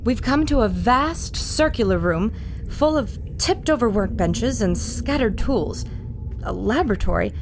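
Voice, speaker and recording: Alert adventure heroine, female actor: bright-eyed observer, high voice, urgent, expressive radio-play delivery. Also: unhurried, noisy background. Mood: observant. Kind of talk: audio drama acting.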